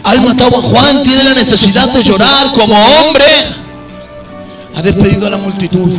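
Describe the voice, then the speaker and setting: Impassioned preacher, high-pitched man, music behind. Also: moderate pace, far from the mic. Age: adult. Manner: impassioned, swings between low intimate tones and high shouted peaks. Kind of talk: sermon.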